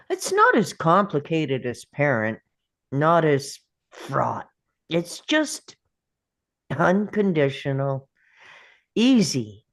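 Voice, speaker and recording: An actor at a script table read playing an elderly grandparent, reflective and tender, musing in halting phrases. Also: female voice, low voice, full character voice.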